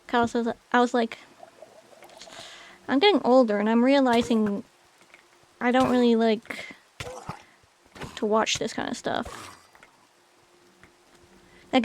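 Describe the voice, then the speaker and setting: A chatty woman in her late twenties talks with her stream audience while playing a game. Her voice sits a little high, and she rambles loosely with plenty of fillers. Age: 20s. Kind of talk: casual chat with chat while gaming.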